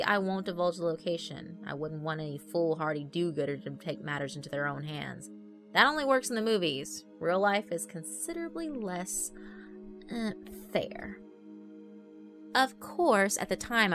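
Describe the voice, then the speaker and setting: A woman with a fairly low voice reads a first-person tale. Her delivery is wry and conversational, with expressive storytelling phrasing. Music plays faintly behind her. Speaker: horror story narrator. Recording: background music under the voice. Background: background music.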